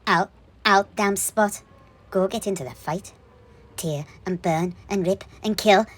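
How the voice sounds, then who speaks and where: A woman playing a bloodthirsty, commanding noblewoman in a theatrical fantasy story, with a husky voice. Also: mid-range voice, a little slow, natural voice. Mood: menacing command. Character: bloodthirsty evil noblewoman commanding her hound.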